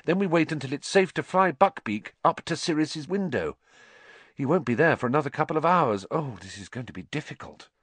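A man voicing an anxious young girl in an audiobook, cautious, bossy, hushed and urgent. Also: a little high, expressive, fairly quick, husky, no echo, voice pushed up. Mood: shaken, planning.

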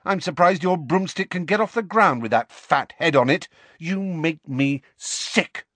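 A man's voice: a little high, huge pitch swings, takes it slowly, a bit bright, no room sound, voice pushed up, heavily acted. A man voicing a furious, scornful teenage schoolgirl in an emphatic, scolding manner for a storybook.

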